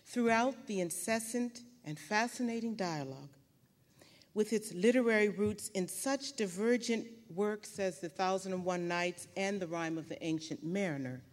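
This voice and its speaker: Formal woman presenter in a hall. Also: mid-range voice. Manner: formal, measured, literary. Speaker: award presenter. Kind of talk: reading a prepared presentation speech. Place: large hall at an award ceremony. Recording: echoey hall reverb.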